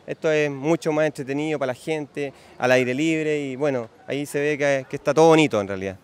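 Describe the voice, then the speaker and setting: A man's voice: a little high, fairly quick, clear, resonant tone. A Chilean man in his forties, a sports official, giving spontaneous interview answers into a handheld mic outdoors. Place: outdoors beside a swimming pool. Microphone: handheld interview microphone.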